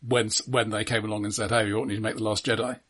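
A man's voice: lowish voice, little pitch movement, racing, fairly bright tone, slightly rough. A man co-hosting a podcast, discussing films in a casual, conversational way. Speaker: podcast co-host. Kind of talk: casual film review chat.

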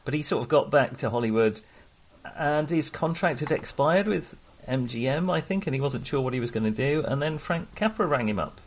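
Male film-show co-host, mid-range voice, conversational and unscripted, hesitant, halting delivery. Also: medium pitch, lively intonation. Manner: hesitant, halting, trailing into half-finished thoughts. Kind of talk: casual film discussion.

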